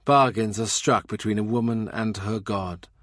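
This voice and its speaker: Measured male audiobook narrator, dry sound. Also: lowish voice, steady tone, fairly bright tone, no room sound. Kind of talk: scripted literary narration of a historical novel.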